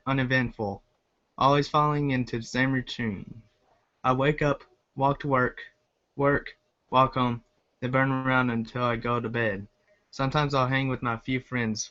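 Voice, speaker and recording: A man reading aloud as an amateur storyteller, in a plain, slightly halting way. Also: mid-range voice, sharp tone. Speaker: fan fiction reader, video creator. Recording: dull lo-fi home recording.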